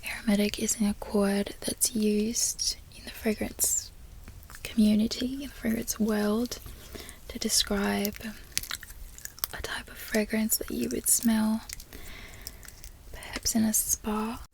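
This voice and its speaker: Whispering American woman, creaky ASMR delivery. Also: mid-range voice, flat delivery, low-quality recording. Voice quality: soft breathy whisper. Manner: slow, lingering repetition of words. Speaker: ASMR video creator.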